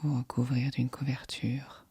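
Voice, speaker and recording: Woman doing guided meditation, super soft whispery voice, really slow n calm, close dry sound. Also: very deep voice, vocal fry, husky, dry recording. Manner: calm, soothing, measured delivery. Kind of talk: guided yoga nidra meditation.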